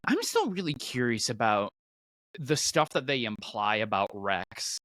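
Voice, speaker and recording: Mid-pitched man chatting, very dry sound. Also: big pitch swings, a little slow, fairly bright tone, dry recording. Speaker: podcast host. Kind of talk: casual fan podcast discussion.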